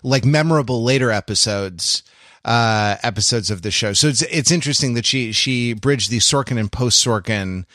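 A man's voice: medium pitch, moderate pace, fairly bright tone, dry recording, studio quality. A man co-hosting a podcast in casual conversation, thinking aloud with hesitant phrasing. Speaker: podcast host. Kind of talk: casual chat about television.

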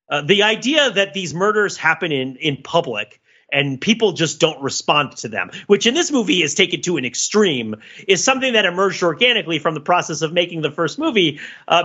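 A man's voice: a little high, sharp tone, lo-fi sound. A man co-hosting a podcast, casually analysing film in a discursive way, peppering his points with filler phrases like "you know". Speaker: podcast co-host.

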